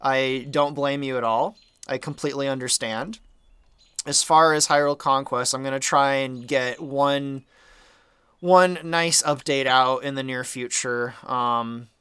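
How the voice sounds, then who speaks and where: Young man, mild sore throat, dry close sound, bright crisp tone, easygoing rambling chat. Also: medium pitch, no room sound. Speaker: game modder and channel host. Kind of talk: casual development update talk.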